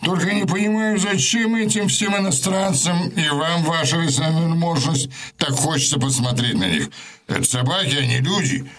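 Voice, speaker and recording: A man's voice: on the high side, normal speed, fairly bright tone. An actor playing a vain, greedy guardsman in an audio drama, talking with haughty, boastful bluster. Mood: scornful. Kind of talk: theatrical audio drama acting.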